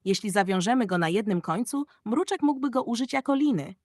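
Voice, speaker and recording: Storybook mouse, played by a woman: little clever male, upbeat, eager, raised pitch. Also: animated, fairly quick, a little mellow, dry recording, studio quality.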